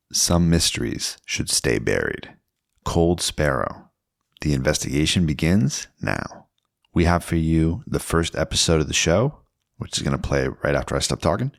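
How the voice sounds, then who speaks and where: Very deep, gravelly male promo narrator. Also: no room sound, clean studio sound. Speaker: podcast host introducing a show. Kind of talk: scripted dramatic promo narration.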